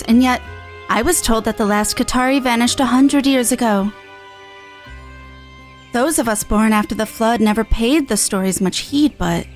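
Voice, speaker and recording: A woman's voice: a little high, natural voice. Woman playing a proud, wary warrior woman. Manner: formal, measured, questioning. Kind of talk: video game story dialogue read aloud.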